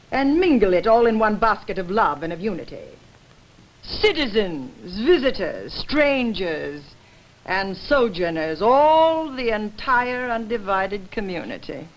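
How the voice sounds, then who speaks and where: A woman's voice: medium pitch, rough recording. A woman playing a proud, persuasive ancient Greek woman arguing against war, in an emphatic, precise, declamatory staged verse reading.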